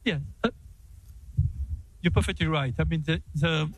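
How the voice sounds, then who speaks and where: Senegalese man, husky voice, dry close sound, halting panel answer with repeated words. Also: on the high side, no room sound, low-quality recording. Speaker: development bank executive on a panel. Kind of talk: answering an audience question at a town hall.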